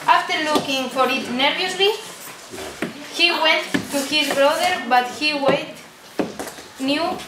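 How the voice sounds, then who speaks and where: A teenage young woman reads a tale, stumbling as she goes. Her voice is high and slow, picked up from a distance in an echoey room. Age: teens. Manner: halting, stumbling reading. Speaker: student narrator of a puppet show. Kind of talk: scripted story read from paper. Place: indoor room at a day care centre. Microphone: distant camera mic. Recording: echoey room, distant noisy camera pickup.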